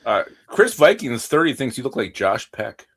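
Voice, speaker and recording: Playful male co-host, lively pitch. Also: moderate pace. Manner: teasing, playful. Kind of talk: casual live show chat.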